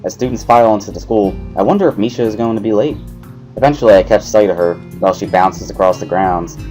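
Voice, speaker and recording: A man narrating a first-person visual novel story as an expressive dramatic reading. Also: lowish voice, quick pace, faint music behind.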